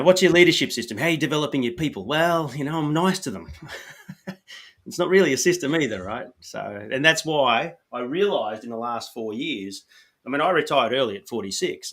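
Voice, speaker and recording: A man's voice: mid-range voice, a bit bright, breathy edge. A man from Australia with an Australian accent, hosting a podcast in an upbeat, coaching way and often saying "you know". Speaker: podcast host. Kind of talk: casual podcast chat.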